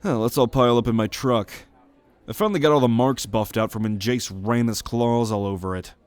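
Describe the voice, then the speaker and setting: A man's voice: mid-range voice, fairly quick, smooth, close to an everyday voice. A man playing a laid-back young guy in an ASMR roleplay audio drama, casual, teasing and sarcastic, with free swearing. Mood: relaxed.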